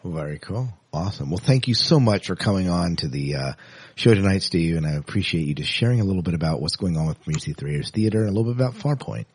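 Podcast host: man, swooping intonation, a bit of rasp, informal and upbeat. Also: deep voice, lively intonation, fast talker. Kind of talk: casual interview.

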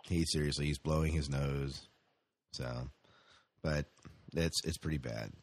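A man's voice: rumbling low, fairly even intonation, unhurried. An American man hosting a podcast, chatting casually about science fiction over an internet call. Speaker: podcast host. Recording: roomy internet call recording.

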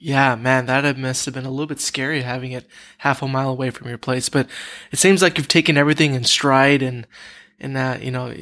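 A man's voice: mid-range voice, fairly even intonation, brisk, a bit bright. Male podcast host, mid-range voice, scripted intro then loose chatty questions. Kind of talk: conversational podcast interview.

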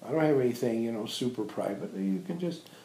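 A man informally reminiscing to visitors as a spiritual teacher, telling animated anecdotes and acting out remembered dialogue. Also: mid-range voice, a little fast. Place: echoey indoor room at an ashram. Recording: roomy, echoey sound.

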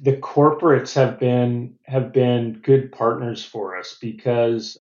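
A middle-aged American man giving measured, businesslike interview answers and often restarting his phrases. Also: mid-range voice, fairly even intonation, darkish tone, reverberant, highs cut off. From United States. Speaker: venture capital partner.

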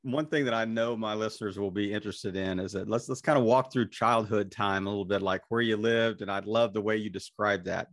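A man hosting a podcast, asking his guest questions. He has a low-pitched voice and a relaxed, conversational way of talking. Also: fairly quick, few pauses. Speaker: podcast host. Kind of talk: casual interview chat.

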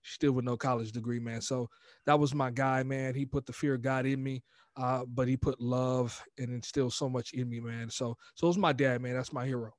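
A middle-aged American man telling personal stories casually in an interview, animated and informal, acting out quoted speech. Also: mid-range voice, fairly quick, no echo, clean studio sound. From United States, raised in Virginia. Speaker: podcast guest, motivational coach.

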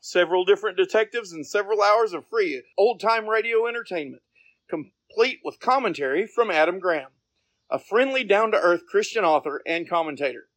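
High-voiced man hosting, dry close sound. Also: expressive, fairly quick, a bit bright, no echo, low-quality recording. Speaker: podcast host and game reviewer. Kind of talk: scripted review narration.

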